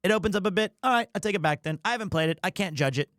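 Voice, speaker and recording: High-voiced man chatting on a livestream. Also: expressive, racing, a bit bright, no echo, clean studio sound. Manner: rambling, opinionated, playfully defensive. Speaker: live streamer, host of the show. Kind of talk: casual livestream chat with viewers.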